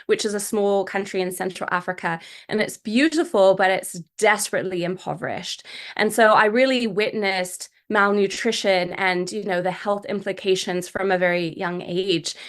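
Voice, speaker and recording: A woman's voice: mid-range voice, fairly even intonation, normal speed, fairly bright tone. A middle-aged woman from Malawi, a coach appearing as a podcast guest, telling her own story conversationally. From grew up in Malawi.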